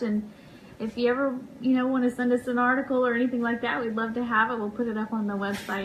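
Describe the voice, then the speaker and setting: Woman co-host, fairly high voice, polite, appreciative, casual interview talk, faint noise. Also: poor audio. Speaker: radio show co-host.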